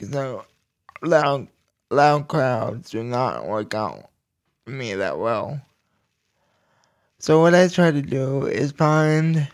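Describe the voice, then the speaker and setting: A man hosting a podcast, giving a personal talk to listeners in halting, deliberate phrases that come in short bursts. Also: mid-range voice, creaky. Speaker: podcast host.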